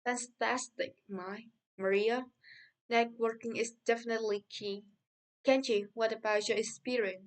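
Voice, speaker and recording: A man's voice: very high voice, fairly even intonation, unhurried, clean tone. A man playing a friendly, upbeat podcast host in a scripted interview for English learners. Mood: cheerful.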